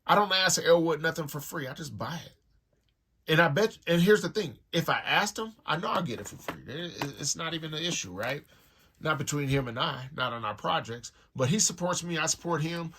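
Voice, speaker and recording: Bright-voiced American man, casual and slangy. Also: fairly high pitch, animated, brisk, husky. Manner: rambling, slangy, conversational with repeated tag phrases. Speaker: music collector and online store owner hosting an unboxing. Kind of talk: casual unboxing chat to viewers. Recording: noticeable background noise with clicks.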